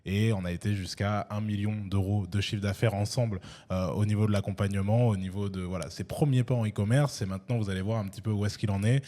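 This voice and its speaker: A man's voice: fairly low pitch, fairly even intonation, quick pace, warm tone. A young man hosting a casual sit-down interview, talking loosely with restarts and fillers. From France. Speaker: host and e-commerce coach.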